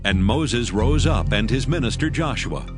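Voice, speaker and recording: A man narrating scripture from a script in a solemn, measured manner. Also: mid-range voice, animated. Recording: music behind the voice. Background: background music.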